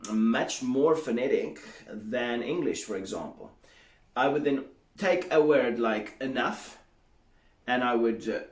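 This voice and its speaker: A man teaching English pronunciation in a measured, explanatory way, sounding out examples aloud. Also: on the low side, roomy sound. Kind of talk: solo teaching monologue.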